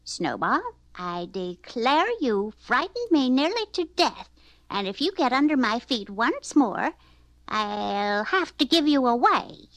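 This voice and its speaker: A woman's voice: medium pitch, very animated, takes it slowly, gravelly, husky, no echo, exaggerated voice. A woman voicing a kindly, easily flustered old grandmother in a children's story, homely and old-fashioned in manner. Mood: flustered, scolding.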